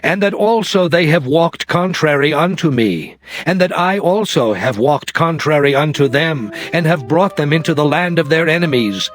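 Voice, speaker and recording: A man's voice: fairly high pitch, animated, normal speed, dry recording, natural voice. A man playing God as a stern, solemn deity in a dramatized King James scripture reading, declaiming in archaic diction. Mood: solemn, resolute. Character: God, stern male deity pronouncing curses and covenant. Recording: music behind the voice. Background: clear background music.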